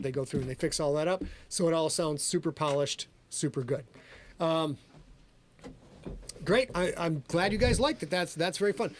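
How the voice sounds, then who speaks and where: Casting: fast-talking man, raised voice, author-host on a livestream, jokey and profane. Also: on the high side, slight background noise. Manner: energetic, quick, profane, joking. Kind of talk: livestream chat and story reading. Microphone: livestream camera setup.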